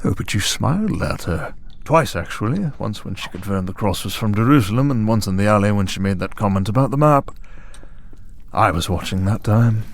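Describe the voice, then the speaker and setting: A man performs a cultured vampire villain for an audio drama. The voice sits fairly low with plenty of fry. He mocks and needles in a leisurely, theatrical manner. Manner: smooth, mocking, leisurely and theatrical. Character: taunting, urbane vampire villain serving a dark master. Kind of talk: scripted audio roleplay drama.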